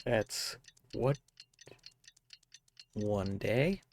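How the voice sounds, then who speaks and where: A man giving casual, low-key, muttered commentary as he streams a video game. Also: animated, extremely slow, gravelly, slight background noise. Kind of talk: casual live game commentary, reading dialogue choices. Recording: game music behind the voice. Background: game music.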